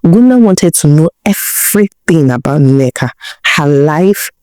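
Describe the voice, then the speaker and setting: A woman with a Nigerian accent narrating a folktale in a deliberate way, repeating phrases for emphasis. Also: low-pitched, lively intonation, a little mellow, smooth, no echo, clean studio sound. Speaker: storyteller, narrator.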